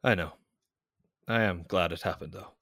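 A brooding military officer in an audio drama, with a deep voice recorded close and dry. He speaks formally and earnestly, holding himself in restraint as a devoted, honour-bound lover. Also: moderate pace, vocal fry, fairly bright tone, no room sound, heavily acted. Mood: earnest, tender.

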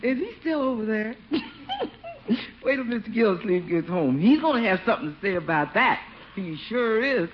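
An actress plays a sassy housekeeper in a vintage radio comedy. She talks in a folksy way, lively in pitch and full of teasing laughter, on a dull old recording. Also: normal speed, slight background noise, natural voice. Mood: cheerful. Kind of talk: 1950s radio sitcom acting.

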